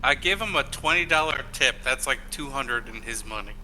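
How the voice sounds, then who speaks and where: A man's voice: mid-range voice, animated, brisk, bright, forceful tone, slight background noise, rough recording. A man chatting casually as a player in a tabletop game. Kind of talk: casual chat.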